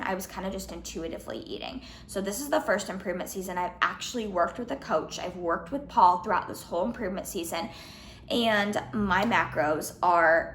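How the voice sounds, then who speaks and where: A young woman in her twenties, a fitness vlogger answering questions in a casual Q&A, slightly hoarse from losing her voice, chatty with lots of "like" and "um". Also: mid-range voice, a bit bright, reverberant, a little hiss or hum. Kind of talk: casual Q&A vlog.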